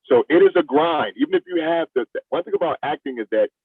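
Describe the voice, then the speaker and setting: A man's voice: sits high, wildly swooping pitch, quick pace, vocal fry, husky, dry recording. Man, fifties, plainspoken casual talk, veteran actor, narrow lo-fi band, dry sound. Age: 50s. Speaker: veteran actor, interview guest. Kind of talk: casual chat.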